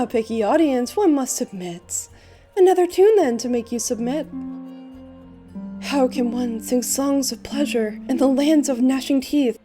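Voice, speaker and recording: A woman playing a theatrical young male bard in a fan dub, telling tales with a lilting, old-fashioned delivery. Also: high voice. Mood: playful, coaxing. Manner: lilting, archaic rhyming delivery. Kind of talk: fan dub character acting and storytelling narration.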